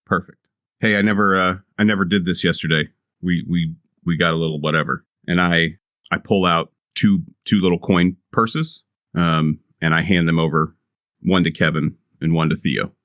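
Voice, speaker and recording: Deep-voiced man, blunt and profane. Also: steady tone, normal speed, fairly bright tone, no echo, studio quality. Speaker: player. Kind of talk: in-character tabletop roleplay.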